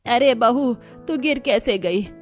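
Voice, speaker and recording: A woman's voice: on the high side, slow, faint music behind, pitched above the performer's own voice. A woman voicing an older, concerned mother-in-law in a cartoon family story, emphatic and motherly.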